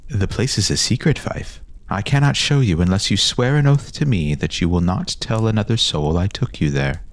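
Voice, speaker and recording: A grandiose older boy, acted out by a male storyteller, lords it over his friends in a fantasy tale. The pitch is lifted well up, and the manner is formal, insistent and self-important. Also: expressive, normal speed, dry recording. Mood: secretive, boastful.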